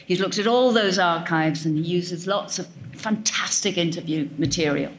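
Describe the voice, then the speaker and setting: A woman's voice: on the high side, a little hiss or hum. Thoughtful woman answering audience questions. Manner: thoughtful, self-correcting, wry. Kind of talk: live Q&A talk. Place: event hall with audience.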